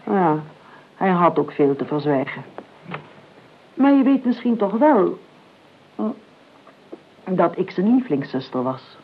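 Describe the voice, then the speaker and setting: A thin, phone-like old radio recording with a noisy background carries a calculating older aunt. She is sardonic and imperious, measuring out her words drily and leaning slowly on the important ones. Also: on the low side, sing-song. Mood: sardonic reminiscing. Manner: measured, dry, drawing out key words. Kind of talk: Dutch radio play acting. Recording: tinny, band-limited old radio recording, clear noise.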